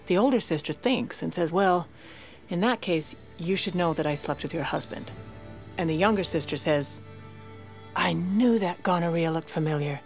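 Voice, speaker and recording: An actress plays a self-assured woman in her forties, a First Lady with a lowish voice and a dry, haughty wit. She narrates an audio drama in a deadpan way, over faint music. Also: moderate pace, close to an everyday voice. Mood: wry, confiding. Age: 40s.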